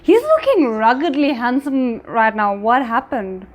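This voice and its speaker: Female reaction host, fairly high voice, exclaims and thinks aloud casually, light music underneath. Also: sing-song, unhurried. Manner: exclaims and muses aloud in short remarks. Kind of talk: casual reaction commentary on a drama episode.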